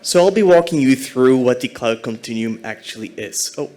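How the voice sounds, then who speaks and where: A man's voice: mid-range voice, lively intonation, normal speed, darkish tone. Man from Belgium presenting a tech talk. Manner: fluent, explanatory presenter. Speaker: conference speaker, technology architect. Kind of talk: conference talk.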